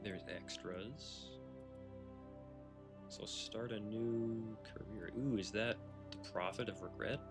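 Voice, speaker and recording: A man's voice: lowish voice, slow pace, pauses often, over music. A man livestreaming a video game with casual, laid-back, offhand commentary.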